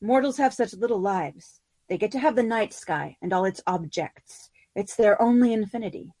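A woman voicing a calm, wry wife who explains things patiently in a lower, drier tone. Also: moderate pace, natural voice. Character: calm, wry former-mortal wife, fond.

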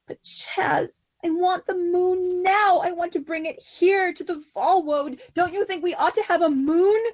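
A woman voicing an excitable young fae spider queen who gushes and pleads in a high, breathless voice. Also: measured pace. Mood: pleading. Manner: gushing, petulant, high and breathless.

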